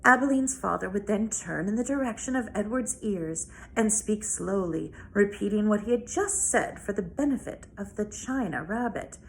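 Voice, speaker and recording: A woman reads a children's novel aloud as a storyteller, with deliberate, dramatic phrasing. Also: mid-range voice, lively intonation, vocal fry. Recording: noticeable background noise.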